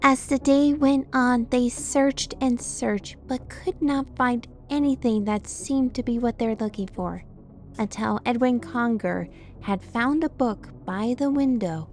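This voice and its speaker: Woman as fantasy storybook narrator, kinda high voice, scripted telling. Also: a little slow. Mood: storytelling.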